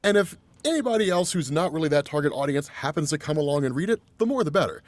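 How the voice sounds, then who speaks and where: Animated, higher-voiced man chatting casually. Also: fast, no echo. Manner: enthusiastic, emphatic, peppers talk with "dude" and "right?". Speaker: guest panelist on a comics discussion show. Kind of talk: casual chat.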